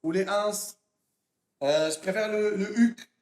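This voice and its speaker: A man's voice: high-pitched, unhurried, darkish tone, reverberant. A man with a slight Arabic accent who learned French at school, bantering playfully and goofily on a livestream. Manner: playful, goofy, joking. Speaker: co-streamer.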